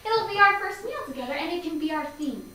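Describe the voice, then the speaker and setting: A woman's voice: very high voice, animated, fast talker, bright, forceful tone, exaggerated voice. A woman acting in a staged play reading as a playful, teasing young enthusiast who coaxes and persuades emphatically. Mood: coaxing, confident. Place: live staged reading in a room. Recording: very echoey, distant mic, faint noise.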